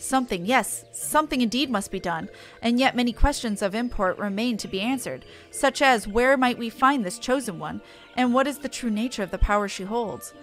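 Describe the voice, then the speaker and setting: A woman's voice: on the high side, a little fast, faint music behind, close to an everyday voice. Woman as pompous feeble scholar fellow, wordy, dithering, theatrical, fantasy game dialogue. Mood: self-important.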